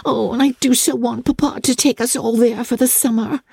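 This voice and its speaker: A woman's voice: on the high side, fairly even intonation, fairly quick, gravelly, dark tone, husky, no room sound, pitched above the performer's own voice. A woman voicing a giddy, gossipy, careless teenage girl in an audiobook, gushing and chattering. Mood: excited, pleading.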